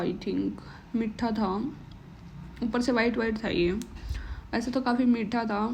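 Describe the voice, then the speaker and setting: A woman's voice: a little high, darkish tone, a little hiss or hum. A young woman with a sore throat narrating her day in a casual home vlog, chatty and mixing English words into Hindi. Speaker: vlogger.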